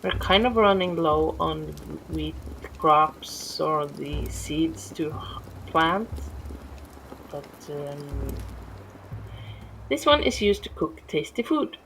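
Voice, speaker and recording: Slow, gravelly Norwegian woman gaming. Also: low-pitched, expressive, a bit bright, a little hiss or hum, rough recording. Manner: rambling, easily distracted, many restarts. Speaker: gameplay streamer. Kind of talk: casual let's-play commentary. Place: home.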